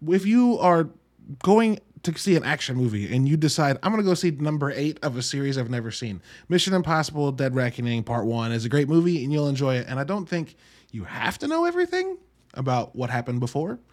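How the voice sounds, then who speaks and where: A man hosting a podcast, giving an informal, wry solo review in an emphatic, halting way with drawn-out words. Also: a little high, very animated, darkish tone. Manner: informal, wry, mildly profane. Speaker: podcast host reviewing films. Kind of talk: casual solo review monologue.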